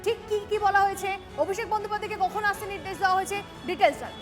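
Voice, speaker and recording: Very high-pitched, fast-talking female news anchor. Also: steady tone, music in the background. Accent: West Bengal. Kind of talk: urgent scripted news presentation.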